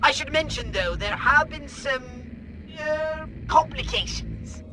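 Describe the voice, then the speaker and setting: An actor playing an excitable, scheming alien mad scientist in a comic video game, theatrical and fussy. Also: male voice, very high-pitched, slow pace, over music, poor audio.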